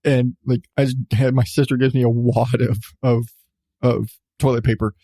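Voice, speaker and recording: A man's voice: mid-range voice, level tone, normal speed, warm tone, dry recording. A man in his thirties, a podcast host telling a personal story casually, with swearing and emphatic repetition. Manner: profane, emphatic, repeats phrases for effect.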